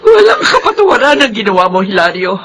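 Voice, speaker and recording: A woman's voice: mid-range voice, big pitch swings, fast, noisy background, lightly acted. An actress with a Filipino accent playing a grieving wife and mother, accusing and scolding in anguish in a radio drama. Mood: furious anguish.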